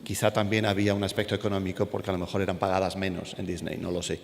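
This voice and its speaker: A man's voice: low voice, little pitch movement, very fast, gravelly. A man with a Castilian Spanish accent giving an informal talk to an audience, telling anecdotes and putting questions to his listeners. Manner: conversational, puts questions to the audience. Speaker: animator, panel speaker. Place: school event hall with an audience. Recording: echoey hall, some background noise.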